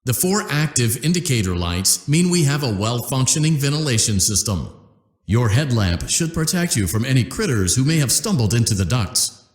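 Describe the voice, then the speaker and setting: A glib, cheery corporate announcer, voiced by a man for a video game. He talks with a polished sales-pitch lilt and a lively, swinging pitch. The voice rings with a roomy echo. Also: normal speed, close to an everyday voice. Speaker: company announcer. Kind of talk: scripted game voice lines.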